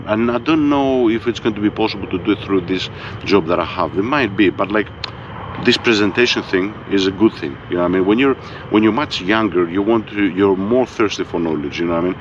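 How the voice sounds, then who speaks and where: A man with a Greek accent talking in a laid-back, fairly monotone way, swearing freely and often tagging on a filler question to check he is understood. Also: on the low side, normal speed, lo-fi sound, noisy background. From Greece. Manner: laid-back, swears freely, keeps saying "you know what I mean". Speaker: vlogger. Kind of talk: casual monologue.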